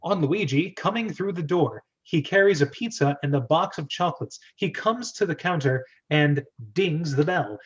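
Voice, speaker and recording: Male host-narrator for a screenplay reading, moderately high pitch, slightly muffled lo-fi recording. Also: expressive, normal speed, hardly any pauses. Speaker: host and stage-direction narrator. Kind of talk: dramatic script reading, narration.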